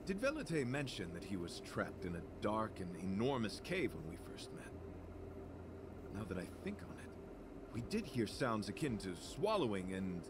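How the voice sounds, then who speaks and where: An earnest, courtly knight from a video game. His speech is formal, solemn and poetic, swinging widely in pitch, over noisy ambience. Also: big pitch swings, normal speed. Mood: grave, thoughtful.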